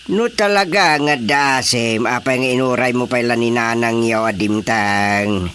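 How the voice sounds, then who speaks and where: A man acting in an Ilocano radio comedy-drama as an expressive, opinionated villager reacting to gossip, with an Ilocano Filipino accent. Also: medium pitch, measured pace, slight background noise, natural voice. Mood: pleased, teasing.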